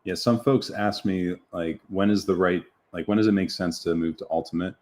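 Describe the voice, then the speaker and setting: A man co-hosting a live stream, calmly explaining things step by step. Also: low-pitched, normal speed. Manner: calm, explanatory, accountant-like reasoning. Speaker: co-host of a live product demo. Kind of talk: casual live-stream chat.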